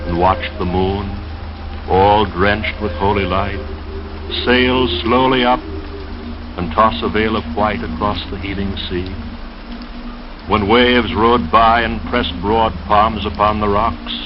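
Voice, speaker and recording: A man reading romantic poetry slowly and solemnly in a dreamy 1930s radio style, like a late-night announcer. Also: lowish voice. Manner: slow, solemn, romantic declamation. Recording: dull, noisy vintage radio sound. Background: steady crackle and rain noise.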